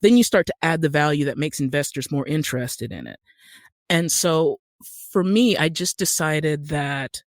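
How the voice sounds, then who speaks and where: Higher-pitched American man in interview. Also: normal speed, no room sound, studio quality. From Utah, United States. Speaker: podcast guest, actor and screenwriter. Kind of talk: conversational interview.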